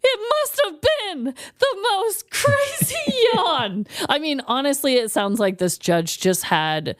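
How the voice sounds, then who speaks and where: A woman co-hosting a true-crime podcast, telling the story in casual, animated chat and laughing often. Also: high-pitched, slow, no echo, clean studio sound. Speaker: podcast co-host.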